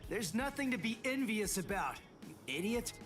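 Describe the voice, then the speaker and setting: A man voicing a brash but earnest high school boy in an English anime game dub, blunt in manner. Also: very animated, moderate pace, fairly bright tone, roomy sound, in a large hall, soft background music. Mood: irritated, shouting.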